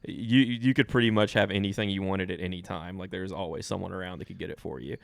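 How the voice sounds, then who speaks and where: A low-pitched man co-hosting a podcast, telling stories from his own life in an easygoing way and laughing often between lines. The sound is dry and close, with no room around it. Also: steady tone, quick pace, vocal fry, no room sound. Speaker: podcast co-host. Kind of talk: casual chat, personal storytelling.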